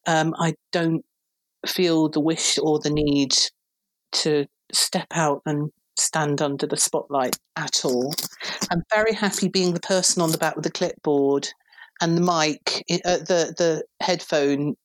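A woman in her fifties from England with a very deep voice, a PR director talking thoughtfully as a podcast guest. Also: steady tone, measured pace, poor audio. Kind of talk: relaxed conversational interview.

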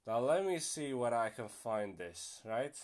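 A man's voice: mid-range voice, sing-song, measured pace, a little mellow. Rambling young male podcast host. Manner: rambling, swears casually, many fillers. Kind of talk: casual solo podcast chat.

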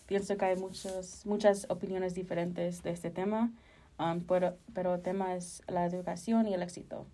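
Young female podcast co-host, flat intonation, soft dark tone, casual and self-correcting. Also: lowish voice, moderate pace. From Chicago, United States. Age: early 20s.

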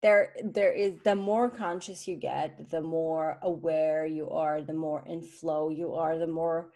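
A woman from Switzerland co-hosting a podcast, talking casually with animated restarts and fillers. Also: low voice, measured pace. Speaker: podcast co-host. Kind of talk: casual conversation.

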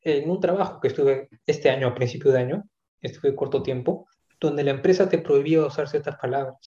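Guy musing out loud, lots of restarts, mellow tone, dull roomy recording. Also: medium pitch, brisk. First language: not English. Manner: thoughtful, hesitant, many asides and restarts. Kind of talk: casual conversation. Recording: echoey room, dull lo-fi sound.

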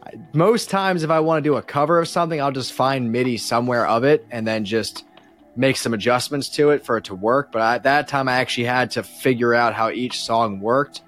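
A man chatting casually as a podcast guest, explaining in a halting, self-effacing way. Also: medium pitch, fairly bright tone, faint music behind. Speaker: podcast guest, musician and composer. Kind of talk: casual chat.

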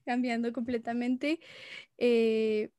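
A woman's voice: fairly high pitch, level tone, slow, warm tone, dry recording, studio quality. A woman interviewing a guest, asking long, winding questions.

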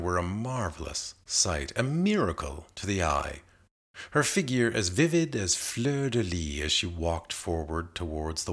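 A man reading a narrative poem aloud as a scripted literary recitation, in a measured and carefully enunciated manner. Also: low voice, fairly bright tone. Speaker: poetry reader.

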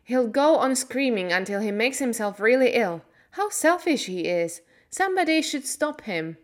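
A cross, stubborn little girl from a children's story, played by a woman narrator. She is blunt and scolding, with a raised pitch and bossy delivery. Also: animated, normal speed, lightly acted. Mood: irritated.

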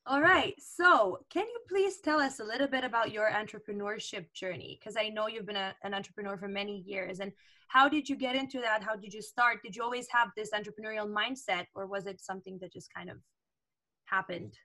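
A woman's voice: mid-range voice, brisk, pushed and bright. A woman hosting a podcast, chatting casually with fillers as she introduces and interviews a guest over a remote call. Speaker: podcast host.

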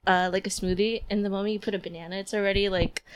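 Brief: young woman, mid-pitched, teasing co-host, dry close mic. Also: steady tone, quick pace, clear, resonant tone, dry recording. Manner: teasing, laughs often, playful jabs. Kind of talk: casual chat.

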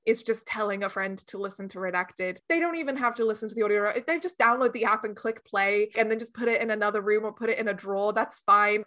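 A woman's voice: mid-range voice, bright, forceful tone. A woman chatting casually as an interview guest, speaking in an earnest, emphatic and informal way. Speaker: interview guest, audio drama director and producer.

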